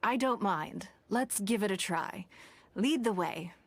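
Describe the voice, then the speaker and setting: An actress playing a poised, shrewd wealthy businesswoman in a video game, speaking in a measured, elegant, formal way. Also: medium pitch, animated, creaky, exaggerated voice. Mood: composed, businesslike.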